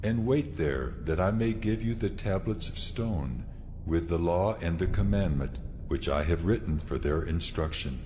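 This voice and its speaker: A man's voice: deep voice, animated, mellow, slight background noise. An actor voicing God as a solemn, majestic, commanding male divine presence in a dramatized scripture reading. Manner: solemn, deliberate, authoritative. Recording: long hall reverb, music behind.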